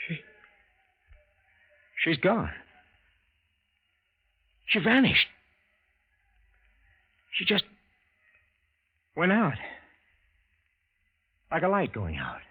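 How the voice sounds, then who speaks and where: A flustered everyman in an old radio play, swoopy bright voice, stammery, bit of hiss. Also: fairly high pitch, huge pitch swings, very slow, long pauses, breathy edge, exaggerated voice. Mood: shocked, bewildered. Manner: stammering, repeating words. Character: baffled, excitable ordinary man, shocked bystander. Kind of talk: 1940s radio drama acting.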